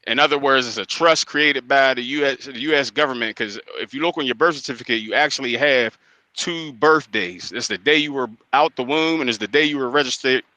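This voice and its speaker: A man calls in with a bright, forceful voice, recorded dry and close. He rambles and restarts his sentences, swearing casually as he goes. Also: mid-range voice, brisk, no room sound, poor audio. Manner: rambling, profane. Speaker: caller. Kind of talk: call-in radio comment.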